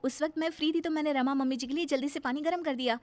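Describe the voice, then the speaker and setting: Cartoon young daughter-in-law, female actor: high voice, polite, pleading, harried and eager to please. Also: fairly even intonation, very fast, background noise, lightly acted. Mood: apologetic, pleading. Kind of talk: Hindi moral-story cartoon dub.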